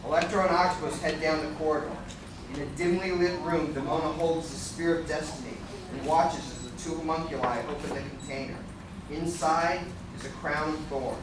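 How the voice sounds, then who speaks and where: A man's voice: fairly high pitch, steady tone, fairly quick, lightly acted. A man reading scripted narration for a live radio play, describing the action as narrator. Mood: brisk narration. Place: live convention stage reading in a large room. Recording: echoey noisy hall, recorded from far off.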